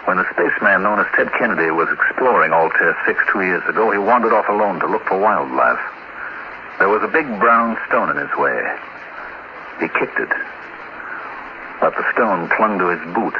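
A man in a 1950s radio play, playing a smug alien mimic posing as a spaceman, speaking in a measured, sinister storytelling manner. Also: sits low, expressive. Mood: calm menace. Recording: noisy, muffled 1950s radio recording.

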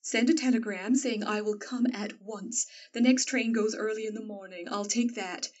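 Actress as a gentle, steady mother, breathy, a little distant, muffled sound. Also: mid-range voice, moderate pace, close to an everyday voice. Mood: calm. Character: calm loving mother of four, Marmee.

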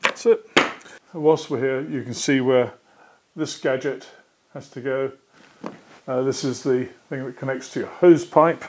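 A man's voice: mid-range voice, fairly even intonation, a little slow, long pauses, noisy background. A British man in his sixties patiently explaining a hands-on task step by step, as a workshop presenter. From Britain. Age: 60s. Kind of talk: hands-on assembly tutorial. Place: indoor workshop.

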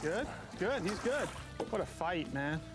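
High-pitched cheering man on a fishing boat. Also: big pitch swings, measured pace. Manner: cheering, "woo" and "oh my goodness". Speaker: fishing show co-host. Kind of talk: excited on-boat banter. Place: outdoors on a fishing boat at sea. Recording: boat audio under loud music.

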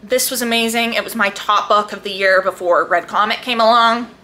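A woman giving a casual, chatty book review to viewers in an animated, gushing, emphatic way. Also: mid-range voice, fairly quick. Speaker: book vlogger.

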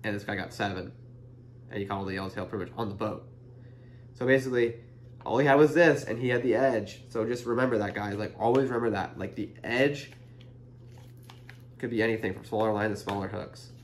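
A man's voice: on the low side, a little fast, long pauses, slight background noise. A young man hosting a fishing channel, casually explaining his tackle to the camera in a chatty, earnest way full of "like" and "you know", recorded indoors on a camera mic. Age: young adult. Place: indoor room in front of a printed banner.

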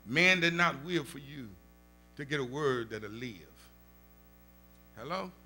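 An older man with a Southern US accent, a pastor teaching Bible study in a church sanctuary, preaching into a stand mic with call-and-response and rising shouts. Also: medium pitch, lively intonation, takes it slowly, soft background music. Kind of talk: animated preaching. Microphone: stand mic at the pulpit.

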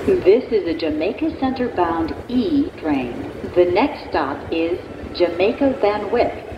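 A woman with a fairly low voice makes scripted subway announcements. She enunciates stop names in a formal, measured way. Train noise is clearly audible behind her. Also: lively intonation, lo-fi sound. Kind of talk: scripted automated transit announcements. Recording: noisy train-car recording. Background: rail vehicle rumble.

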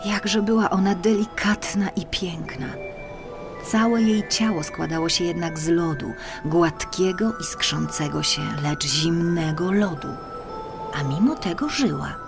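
Woman narrator, slightly low voice, deliberate expressive storytelling, children's audiobook, music behind. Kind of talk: scripted children's fairy-tale narration.

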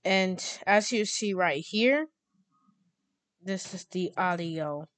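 A woman hosting a casual software tutorial, speaking in a halting, drawn-out way. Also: on the low side, animated, dry recording. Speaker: tutorial host.

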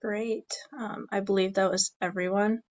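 A young woman moderating a webinar on a video call, speaking in a brisk, organized way. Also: medium pitch, fairly even intonation. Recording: dull video-call audio.

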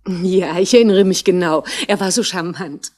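An actress playing a friendly, chatty flower seller in a 1960s radio play, polite and a little coquettish. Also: female voice, lowish voice, moderate pace, a little mellow, lightly acted. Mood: friendly, flirtatious.

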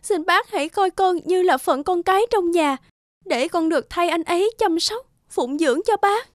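A woman playing a devoted, gentle young village woman in an animated folk tale, pleading earnestly and respectfully. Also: extremely high pitch, moderate pace, dry recording, studio quality. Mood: sorrowful, earnest. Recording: loud music behind the voice.

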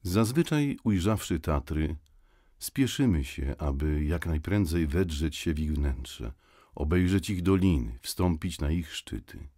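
An actor playing a reflective nineteenth-century poet-geographer, reciting literary prose for an audio guide in a solemn, measured manner. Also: male voice, a bass voice, animated, warm tone, dry recording, studio quality, exaggerated voice. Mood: reflective, instructive. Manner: solemn, measured, old-fashioned phrasing.

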